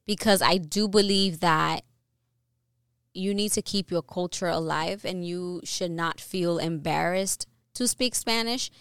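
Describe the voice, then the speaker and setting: A young woman co-hosting a casual podcast, earnest and opinionated, restarting her sentences often. Her voice is smooth and slightly low. The sound is close and dry, with no room around it. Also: a little slow, a bit bright, no room sound. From New York, US. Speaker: podcast co-host.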